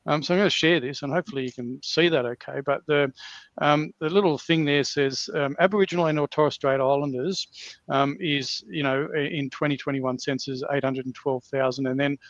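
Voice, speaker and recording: A man's voice: fairly high pitch, steady tone, brisk, dry recording, lo-fi sound. An Australian man hosting a casual news chat over a video call, rambling and repeating himself, often calling his guest mate. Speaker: podcast host.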